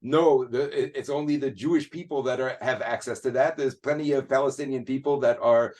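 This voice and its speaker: Guy being interviewed, halting and earnest, lots of false starts, ordinary pitch. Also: fairly even intonation, a little mellow. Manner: hesitant, many restarts and fillers, earnest. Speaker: guest. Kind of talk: casual conversational interview.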